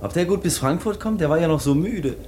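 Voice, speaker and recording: An actor playing a simple, good-natured young farm servant in a German radio play. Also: male voice, on the high side, expressive, a little fast, darkish tone, slight background noise, lightly acted. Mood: artless, good-natured.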